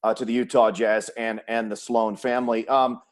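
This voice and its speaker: A man hosting a sports interview, asking conversational questions with long, measured set-ups. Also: medium pitch, little pitch movement. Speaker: host, sports announcer.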